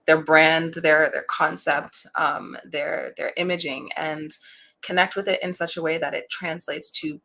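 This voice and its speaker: Low gravelly woman, super chill relaxing voice, animated storyteller, laughs a lot. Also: unhurried. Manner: warm, animated storyteller who laughs often. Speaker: interview guest, voice actor and creative director. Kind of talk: casual interview chat.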